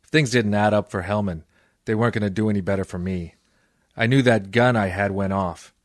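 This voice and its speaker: A man performs a cynical gumshoe in a classic radio detective play. His voice sits on the low side, recorded dry. He is sardonic and deadpan, always ready with a wisecrack. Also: fairly quick, no echo, lightly acted. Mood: wry, weary narrating. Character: wisecracking, cynical hard-boiled private detective. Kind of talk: old-time radio detective acting and narration.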